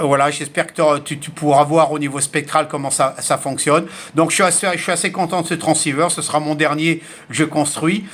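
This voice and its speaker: A man's voice: on the high side, fairly bright tone. A man speaking as an amateur radio operator in an informal, explanatory chat, with plenty of filler words. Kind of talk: casual radio contact chat, reciting callsigns phonetically.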